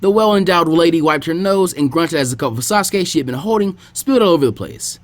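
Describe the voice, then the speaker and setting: A man reading a fan story aloud as a storyteller. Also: on the high side. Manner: fast, lively storytelling. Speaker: fanfiction reader.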